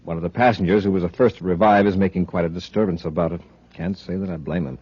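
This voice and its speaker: An actor playing a steady, brisk and commanding space patrol commander in a 1950s radio serial. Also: male voice, low-pitched, husky. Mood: matter-of-fact, serious. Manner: brisk, authoritative. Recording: dull, lo-fi vintage radio recording.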